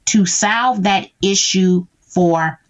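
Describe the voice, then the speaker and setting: A woman's voice: mid-range voice, drawn-out words, lo-fi sound. A woman guest talking through how she uses tools in her business, checking in often with "right?". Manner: explains with frequent "right?" check-ins. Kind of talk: conversational interview.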